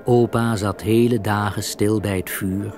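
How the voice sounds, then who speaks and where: A man's voice: lowish voice, fairly even intonation, a little slow, darkish tone, soft background music. A man narrating a scripted children's story.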